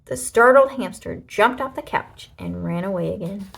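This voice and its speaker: A woman narrating a children's storybook aloud in an expressive way, with deliberate dramatic emphasis. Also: medium pitch, gravelly, breathy edge.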